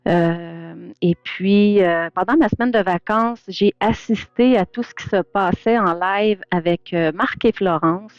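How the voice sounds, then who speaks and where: A woman's voice: mid-range voice, a little slow, few pauses, no echo. A middle-aged woman joins a livestream over a remote call. She talks hesitantly and apologetically, with many "euh" fillers. The audio is dull and lo-fi, and very dry. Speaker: invited guest, domestic violence professional. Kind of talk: casual livestream guest talk.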